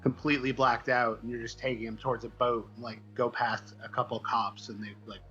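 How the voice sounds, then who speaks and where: Bright-voiced American man, halting casual storyteller. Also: mid-range voice, flat delivery, dull audio, faint music behind. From Midwestern United States. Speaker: comic book writer, interview guest.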